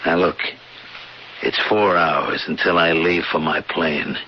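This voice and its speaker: An actor playing a menacing middle-aged robber in a radio crime drama, gruff and suspicious, talking in blunt tough-guy slang. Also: rumbling low, fairly even intonation, slow pace. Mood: cold, boastful. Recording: dull, noisy old radio broadcast.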